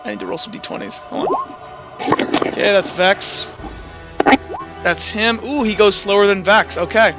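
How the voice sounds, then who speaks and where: High-voiced male game master. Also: animated, vocal fry. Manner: halting, informal. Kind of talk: improvised tabletop game narration. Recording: slightly muffled with music behind.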